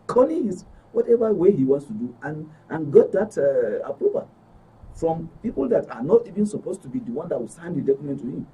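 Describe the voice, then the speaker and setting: A man's voice: on the high side, sing-song, a little fast, warm tone, slightly rough. A man with a Nigerian accent giving a conversational personal account, repeating and rephrasing for emphasis. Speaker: studio guest. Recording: dull distant mic, faint background noise.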